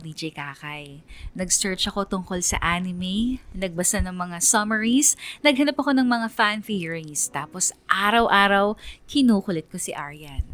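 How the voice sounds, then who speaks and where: A woman's voice: medium pitch, fairly bright tone, close to an everyday voice. A woman playing a lovesick young woman who narrates her crush story in a dramatic, confiding, colloquial way for a radio drama. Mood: frustrated, longing.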